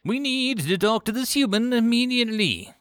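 A man's voice: extremely high pitch, expressive, normal speed, fairly bright tone, dry recording, studio quality, voice pushed up, heavily acted. A man voicing a grave, suspicious insect-like alien who speaks formally and precisely in a narrated science fiction story. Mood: grim.

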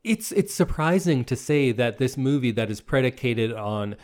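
A man's voice: medium pitch, animated, moderate pace, a little mellow. A man in his thirties co-hosting a casual movie podcast, sick with a sore throat and talking with nostalgic enthusiasm. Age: 30s. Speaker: podcast co-host.

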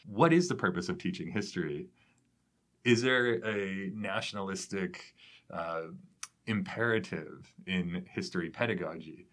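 A man's voice: low-pitched, unhurried, fairly bright tone. A man hosting an academic interview, conversational and thoughtful, feeling out his phrasing as he goes. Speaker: podcast host, educator.

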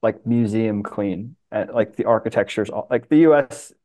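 A man's voice: fairly low pitch, moderate pace, dark tone. A man chatting casually as a guest on a podcast. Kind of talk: casual chat.

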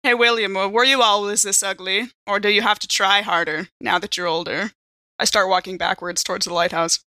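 A woman's voice: medium pitch, steady tone, brisk, bright, forceful tone, no room sound. A woman playing a tabletop game, chatting casually and slipping in and out of character.

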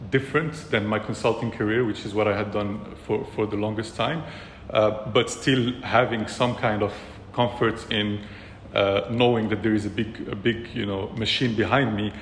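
A man from Morocco, a startup co-founder, telling his story in a measured way with many fillers. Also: lowish voice, reverberant, long reverb tail, a little hiss or hum. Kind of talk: casual interview.

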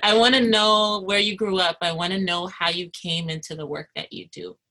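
A woman's voice: fairly low pitch, fairly even intonation, normal speed, pushed and bright. A woman hosting an interview, chatty and casual with slang and easy laughter. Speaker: interview host.

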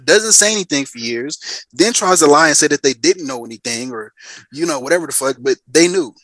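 A man's voice: a little high, lively intonation, brisk, a bit bright, no room sound. A man in his thirties, a podcast guest, telling a story in emphatic casual chat and repeating lines for effect. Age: 30s.

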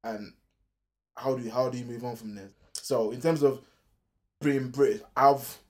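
A man's voice: mid-range voice, fairly even intonation, moderate pace, a little mellow. A man from Nigeria in a casual group discussion, talking passionately about his experiences, peppering his speech with "like". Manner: passionate, full of "like" fillers.

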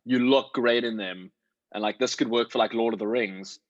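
A man's voice: medium pitch, fairly even intonation, moderate pace, no room sound, lo-fi sound. A man chatting casually as a working actor giving advice, talking in an emphatic, slangy way with plenty of swearing. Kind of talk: casual chat.

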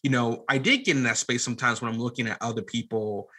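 A man with a bright, forceful voice at a middle pitch talks as a podcast guest. He is conversational and opinionated, leaning on repeated words for emphasis. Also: steady tone, fast talker. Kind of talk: casual conversational interview.

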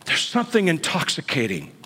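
Man, late 50s, pastor, sermon delivery that slides between hushed whispers and high exclamations, breathy timbre, reverberant sanctuary. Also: huge pitch swings, brisk. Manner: swings from hushed whispers to sudden high outbursts. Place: church sanctuary. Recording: long church reverb.

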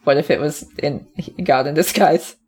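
A woman co-hosting a podcast in casual, playful chat, quick to giggle. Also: low-pitched, darkish tone, slightly rough. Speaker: podcast co-host.

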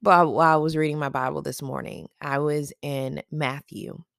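A podcast host with a raspy morning voice, chatting casually and affectionately to listeners about faith. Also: medium pitch, level tone, moderate pace, fairly bright tone, no echo, clean studio sound.

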